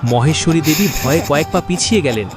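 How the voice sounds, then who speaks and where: A man's voice: on the high side, expressive, fast talker, over music. A man narrating a scripted horror story in a theatrical, suspenseful and emotive manner.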